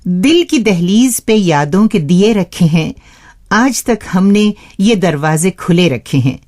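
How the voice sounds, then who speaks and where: Female radio host, lowish voice with lively, swooping delivery, scripted and addressed to listeners as friends.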